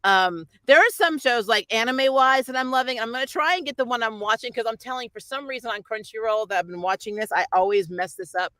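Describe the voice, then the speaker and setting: A woman's voice: fairly high pitch, fast talker, hardly any pauses, a bit bright, no room sound. A woman hosting a pop culture show, chatting casually about shows in an informal, opinionated, rambling way. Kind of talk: casual chat, reviewing shows.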